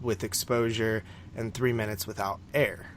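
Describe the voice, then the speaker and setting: A man's voice: on the low side, steady tone, normal speed. A man co-hosting a podcast, casually recapping a film in a rambling, informal way with some swearing. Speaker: podcast co-host.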